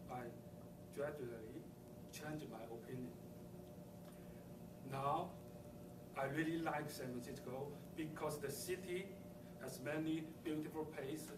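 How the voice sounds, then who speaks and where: A man from southern China whose first language is Cantonese, giving a prepared first speech in English with a Chinese accent, hesitant and filled with ums and uhs. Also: on the high side. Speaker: first-time club speaker. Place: meeting room. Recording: echoey room, mic far off, background noise.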